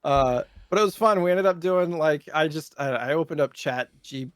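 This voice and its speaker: Higher-pitched man chatting on a podcast. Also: moderate pace, clear, resonant tone, dry recording. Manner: joking, rambling storytelling. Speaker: podcast co-host and streamer. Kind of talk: casual podcast chat.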